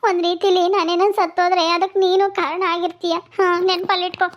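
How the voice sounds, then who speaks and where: ಮಹಿಳೆ ಅಭಿನಯಿಸಿದ ತುಂಬಾ ಎತ್ತರದ ದನಿಯ ಹಠಮಾರಿ ಹಳ್ಳಿ ಅಜ್ಜಿ. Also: female voice, very high voice, flat delivery, fast, highs cut off, pitched above the performer's own voice. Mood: stubborn, aggrieved. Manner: plaintive, rustic, emotionally insistent. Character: stubborn, pleading old village grandmother seeking shelter. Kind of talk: acted village comedy-drama story.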